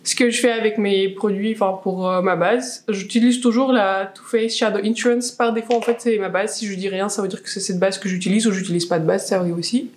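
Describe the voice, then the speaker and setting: A woman's voice: medium pitch, steady tone, a little fast, hardly any pauses, darkish tone. A woman in her twenties from Russia, speaking with a Russian accent, chatting casually as she does her makeup, with lots of fillers and restarts. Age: 20s. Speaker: beauty vlogger. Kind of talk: casual chat while doing makeup. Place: small room indoors, plain wall.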